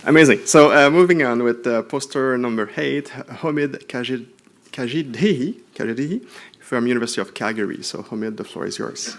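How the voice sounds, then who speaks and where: A man's voice: medium pitch, moderate pace. A man moderating a live event informally, with short acknowledgements and filler phrases. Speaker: session moderator. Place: live forum session.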